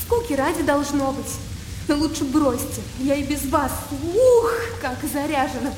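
Radio play: young, teasing gentlewoman, high voice, impulsive, blunt; reverberant sound. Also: measured pace, a little hiss or hum. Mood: irritated, defiant. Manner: lively, impulsive, mocking. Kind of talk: Russian radio drama acting. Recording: echoey studio reverb, music underneath.

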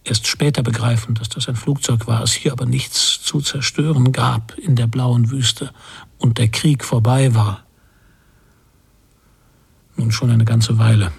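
Husky, melancholy emigrant father. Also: mid-range voice, fairly even intonation, exaggerated voice. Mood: reflective. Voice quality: husky, gravelly. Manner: slow-building, brooding reflection in verbless fragments. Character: melancholy post-war emigrant father on a ship's deck. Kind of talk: literary radio drama monologue.

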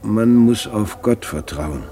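A middle-aged married man in an old radio play with noticeable noise. His voice is fairly low and moves expressively. He is measured and protective, soothing but resigned. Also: expressive, warm tone. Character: calm, devout, protective husband and worried father. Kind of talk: German radio drama acting.

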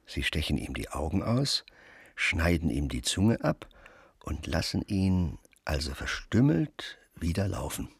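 A gruff, blunt man of middle age for radio drama. The voice is deep and very husky, with swinging intonation. He sounds sardonic and stagey. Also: sing-song, measured pace, dry recording, studio quality, exaggerated voice. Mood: brooding. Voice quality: gravelly, raspy. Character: gruff, sardonic man needling his old companion. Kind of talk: German radio drama acting.

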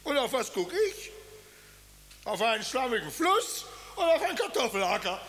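Vain tsar, 50s, petulant, pompous, high voice, bright, radio drama. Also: male voice, sing-song, moderate pace, exaggerated voice. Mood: grumbling. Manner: petulant, self-pitying, pompous. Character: vain whiny tsar father, middle-aged man.

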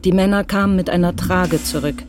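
A narrator reading a crime radio play in a measured, even way. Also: medium pitch, noisy background. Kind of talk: scripted narration.

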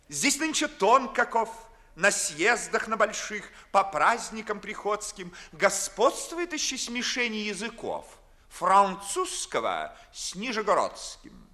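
An actor playing a witty, ardent young gentleman in a declamatory, mocking manner, in 1950s Russian theatrical radio verse. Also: sits high, exaggerated voice. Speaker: stage actor. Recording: early 1950s studio radio recording.

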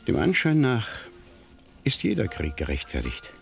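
An actor in a 1970s German radio play, playing a worldly, middle-aged French war reporter with a dry, wry, emphatic delivery. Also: male voice, on the low side, wildly swooping pitch, normal speed, vocal fry, faint music behind, full character voice. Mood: grave, bitter.

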